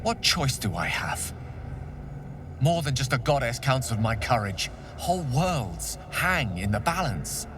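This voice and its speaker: A man's voice: mid-range voice, very animated, a little slow, recorded from a distance, background noise. A man playing an anguished, noble wizard in a video game, speaking with earnest, dramatic eloquence. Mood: anguished resolve.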